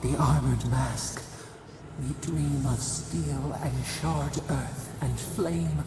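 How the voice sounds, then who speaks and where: An actor voicing an ancient, grieving spirit in a video game, speaking as we in cryptic, halting, solemn phrases with a gravelly voice. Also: medium pitch, full character voice. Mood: ominous, warning. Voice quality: gravelly, creaking. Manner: slow, cryptic, incantatory, halting short phrases. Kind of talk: solemn dramatic game voice acting. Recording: heavily reverberant echoing voice, music behind.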